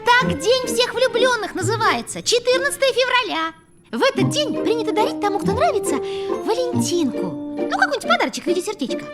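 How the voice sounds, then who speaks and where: A woman voicing a busy, bossy young cartoon creature, eager and directing others briskly. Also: very high-pitched, very animated. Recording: loud music behind the voice.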